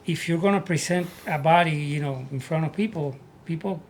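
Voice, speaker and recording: A man from Puerto Rico with a Puerto Rican Spanish accent, telling his life story as an interview guest in earnest, halting English. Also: a little high, slight background noise. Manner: earnest, repeats words as he searches for English. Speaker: guest, army veteran.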